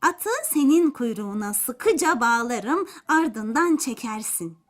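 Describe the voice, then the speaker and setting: Lady doing a sneaky fox for a kids tale, coaxing, hums while plotting, pitch all over the place. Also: animated, slow, soft background music. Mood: persuasive. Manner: hums thoughtfully, persuasive. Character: sly, helpful male fox, scheming and coaxing. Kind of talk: children's folk tale storytelling.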